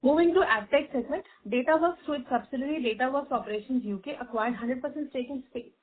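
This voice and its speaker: Female executive, Indian accent, slightly high and husky voice, formal scripted results read over a narrow phone line. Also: brisk. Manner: formal, reading figures with stumbles. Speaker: company executive presenting results. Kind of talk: scripted financial report on earnings call. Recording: tinny, band-limited conference phone line.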